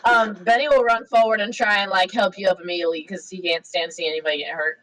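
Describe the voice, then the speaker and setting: A woman plays in a tabletop game, chatting and giggling as she describes what her character does. Her voice sits at a middle pitch. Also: fairly even intonation, fast, fairly bright tone, low-quality recording. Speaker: player. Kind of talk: casual tabletop play.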